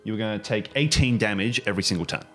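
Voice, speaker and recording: A man narrating a tabletop game as game master, halting and dramatic as he sets the scene. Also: on the low side, a bit bright. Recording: music behind the voice. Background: background music.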